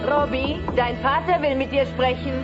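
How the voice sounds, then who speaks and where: A woman playing a worried but firm dinosaur mother in a dubbed comedy, expressive in her scolding and pleading. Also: sits high, moderate pace, lightly acted. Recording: dull cassette transfer, music behind.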